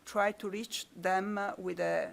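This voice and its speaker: A woman giving a conference presentation in a halting, hesitant way, often repeating words. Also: mid-range voice, steady tone, vocal fry. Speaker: panel speaker.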